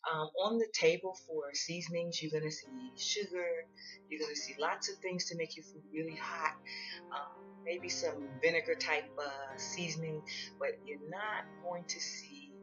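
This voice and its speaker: Woman, deep-pitched voice, casual video host, explanatory, unhurried, plain-spoken, background music. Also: steady tone, dull audio. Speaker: host of a how-to video on ordering food.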